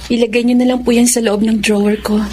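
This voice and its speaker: An actress playing a sad, self-pitying young daughter in an emotional Filipino radio melodrama, speaking respectfully. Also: female voice, on the high side, flat delivery, quick pace, darkish tone, a little hiss or hum, close to an everyday voice. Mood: resigned, sad. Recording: drama with music bed behind.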